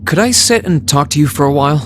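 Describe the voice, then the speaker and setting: A man's voice: a little high, lively intonation, quick pace, close to an everyday voice. An actor playing a polite, loyal and resolute young male student in a video game, speaking sincerely. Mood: tentative. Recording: music behind the voice.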